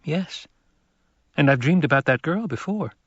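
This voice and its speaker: A man's voice: fairly high pitch, lively intonation, unhurried, breathy edge, no room sound, highs cut off, pitched above the performer's own voice. A man voicing an earnest, guarded teenage boy in an audiobook, lighter and dreamy in manner.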